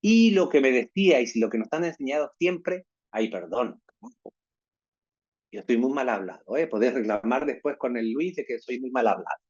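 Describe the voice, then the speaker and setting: Male lecturer on a video call, husky voice, dull muffled audio, asks "right?" a lot. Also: mid-range voice, lively intonation, moderate pace, a little mellow. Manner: peppers talk with tag questions. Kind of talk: online lecture to teachers.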